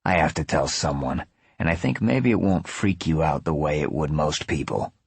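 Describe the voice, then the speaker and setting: A man's voice: rumbling low, animated, moderate pace, vocal fry, husky, dry recording, exaggerated voice. A man playing a wry, blunt male antihero with a casual way of talking in an audiobook. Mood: confessing.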